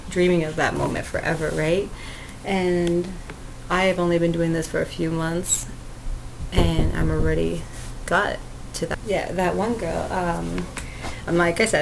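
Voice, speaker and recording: Chatty woman with a sweet, lowish voice. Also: creaky, slight background noise, poor audio. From Canada. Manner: rambling, chatty, with frequent fillers and laughs; self-describes her voice as sweet and cute. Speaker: podcast host. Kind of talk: casual solo podcast talk.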